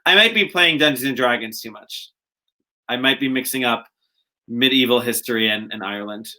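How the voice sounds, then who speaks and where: A man's voice: medium pitch, moderate pace, bright, forceful tone. A young man co-hosting a podcast, chatting casually with rambling, joking asides and frequent restarts. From America, later lived in Israel. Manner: rambling, joking, self-interrupting. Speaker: podcast co-host. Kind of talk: casual chat.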